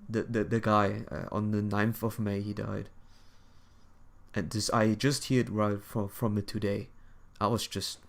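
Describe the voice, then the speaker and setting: Low-voiced young man rambling while gaming. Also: little pitch movement, vocal fry. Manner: rambling, halting, repeats phrases. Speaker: game livestreamer. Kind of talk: casual chat while gaming.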